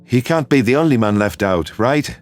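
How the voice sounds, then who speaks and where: Sci-fi story human man voiced by a narrator, medium pitch, calm, reasonable, protective, persuasive. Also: normal speed, soft background music, natural voice.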